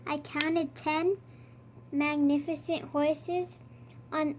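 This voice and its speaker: A child with a high voice reads a storybook aloud at an unhurried pace. The delivery is that of a read-aloud for young listeners. There is faint background noise in the recording. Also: little pitch movement. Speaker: storybook reader.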